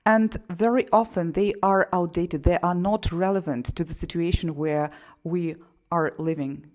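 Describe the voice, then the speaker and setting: Polish-accented woman, chatty and low-voiced. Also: steady tone, unhurried, a little mellow. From Poland. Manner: frequent fillers like "you know" and "you see". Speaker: singer and GSR practitioner. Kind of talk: casual explanatory monologue.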